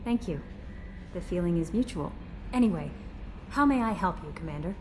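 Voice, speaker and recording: An actress playing a friendly young female ship aide in a video game, soft-spoken and polite. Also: fairly low pitch, lively intonation, normal speed, a little hiss or hum, lightly acted, poor audio.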